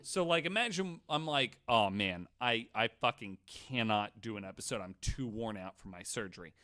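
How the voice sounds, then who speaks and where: A man hosting a casual group podcast, telling joking, profane hypotheticals in an animated way. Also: lowish voice, normal speed. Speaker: podcast host.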